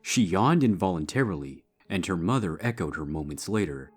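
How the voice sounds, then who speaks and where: A man's voice: low-pitched, lively intonation, moderate pace. A man giving a scripted narration of a story as its narrator. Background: background music.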